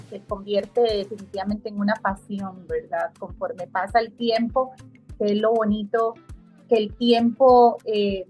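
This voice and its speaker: A middle-aged woman from Costa Rica, a guest realtor, chatting in an effusive, slightly halting way. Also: medium pitch. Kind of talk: casual interview chat.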